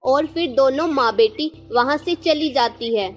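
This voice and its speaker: A woman's voice: high-pitched, measured pace, faint music behind. A woman narrator reading a scripted children's story.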